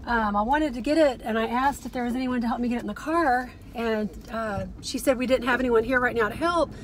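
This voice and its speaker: A woman's voice: a little high, a little fast, a little hiss or hum. A middle-aged woman chatting casually to viewers in a rambling, chatty way, recorded inside a car. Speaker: vlogger, thrift reseller.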